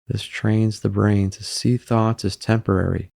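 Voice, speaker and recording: A man narrating a guided meditation in a calm, unhurried way, pausing between short phrases. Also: on the low side, vocal fry, no echo, clean studio sound.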